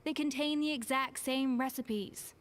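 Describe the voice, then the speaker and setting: Brief: a cool, unflappable chef, dry and measured. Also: female voice, high voice, steady tone. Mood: composed. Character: calm female former head chef, composed and dry. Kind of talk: game voice acting.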